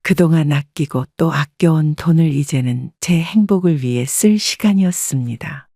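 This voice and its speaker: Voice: medium pitch, normal speed, gravelly, dark tone, no room sound, studio quality. A narrator reading a scripted first-person story in a composed storytelling manner.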